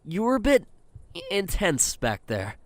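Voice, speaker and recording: A woman's voice: on the low side, wildly swooping pitch, a little slow, dry recording. A woman voicing a nervous young male companion who stammers and hesitates in a fan audio drama. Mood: worried.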